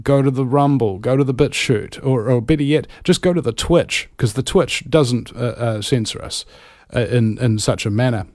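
Male talk radio host from New Zealand: casual, rambling, theatrical emphasis, mid-pitched, dry close sound. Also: moderate pace, darkish tone, no room sound. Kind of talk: casual live talk show monologue.

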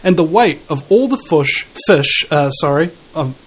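Kiwi guy hosting a chat, higher voice, thinks out loud and gropes for words. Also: expressive, a little slow, slightly rough, poor audio. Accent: New Zealand. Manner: musing, searching for words. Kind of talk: casual conversation.

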